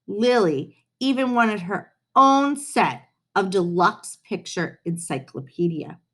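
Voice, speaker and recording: Animated, slow-paced woman reading a storybook. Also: medium pitch. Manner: animated, unhurried, dramatic pauses, playful asides. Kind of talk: expressive children's storybook read-aloud.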